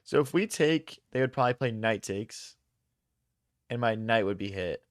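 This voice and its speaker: A man's voice: lowish voice, animated, moderate pace, no echo, studio quality. A young man from Philadelphia, streaming chess and thinking aloud in casual slang as he answers chat. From Philadelphia, USA. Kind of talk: casual live-stream commentary.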